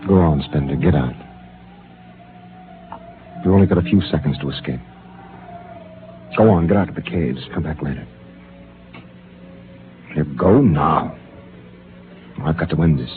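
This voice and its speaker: An actor playing a stern but torn ship captain with a firm, controlled delivery, in a 1950s radio drama. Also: male voice, rumbling low, a little slow, pauses often. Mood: tense. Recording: tinny 1950s radio transcription with music.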